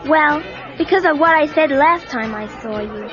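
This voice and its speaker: A woman's voice: high-pitched, expressive, normal speed. An actress playing a friendly, frank teenage girl with an American accent and casual delivery in an audio story. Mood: friendly, confiding. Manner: casual, direct. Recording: dull cassette, music and crowd behind.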